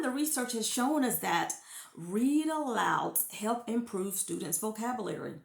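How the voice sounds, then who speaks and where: Gravelly-voiced woman coaching parents earnestly. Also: echoey room. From United States. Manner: earnest, encouraging, rises in pitch for emphasis. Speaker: former classroom teacher giving parenting tips. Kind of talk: informal teaching talk to parents.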